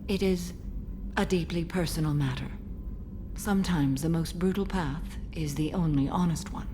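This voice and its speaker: An actress playing an ancient, stern yet serene alien warrior in a video game, speaking formally and with measured care. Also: female voice, low voice, background noise, natural voice. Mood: stern, resolute. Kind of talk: dramatic game dialogue.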